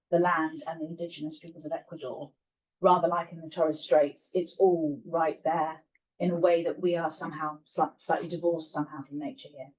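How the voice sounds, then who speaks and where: A woman's voice: lowish voice, dark tone. A woman on a lecture panel answering a question in a thoughtful, hesitant way, correcting herself as she goes. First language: not Spanish. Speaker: panel speaker. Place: lecture room. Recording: distant mic, muffled lo-fi sound.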